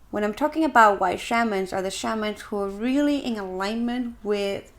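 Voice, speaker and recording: A woman from Ecuador with an Ecuadorian Spanish accent, speaking earnestly and deliberately to viewers as a practitioner, with emphatic pauses. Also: medium pitch. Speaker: shamanic practitioner and video host. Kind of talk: earnest explanatory talk to camera.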